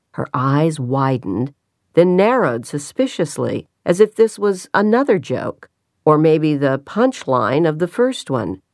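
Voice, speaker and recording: A woman narrating a novel in an even, measured way, with quiet dialogue parts. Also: deep voice, dry recording, studio quality. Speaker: audiobook narrator. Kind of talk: scripted narration.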